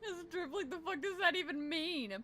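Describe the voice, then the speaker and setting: A woman's voice: extremely high pitch, normal speed, pushed and bright. A woman giving casual gameplay commentary, irreverent and goofy. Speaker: game streamer. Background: game music.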